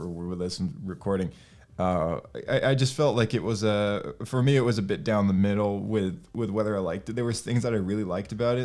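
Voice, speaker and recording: A man's voice: fairly low pitch, a little mellow. A man hosting a casual podcast chat, with a hesitant, meandering way of talking. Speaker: podcast host.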